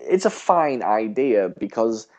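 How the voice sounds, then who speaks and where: A man's voice: on the low side, very animated, a little slow, a little mellow. A man co-hosting a podcast, chatting casually about television and often rephrasing as he goes. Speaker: podcast co-host. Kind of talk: casual TV discussion chat.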